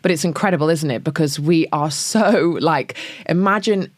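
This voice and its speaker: A man's voice: a little high, normal speed, no room sound. A British man speaking as a podcast guest, enthusiastic and preachy in a casual chat. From Britain.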